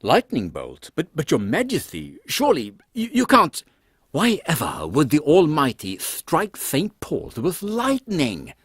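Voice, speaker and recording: A husky, very animated voice plays a flustered airship crewman, acted by a man. He is deferential and hesitant in comic audio drama style, recorded dry and close. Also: a little high, a little slow, dry recording, full character voice.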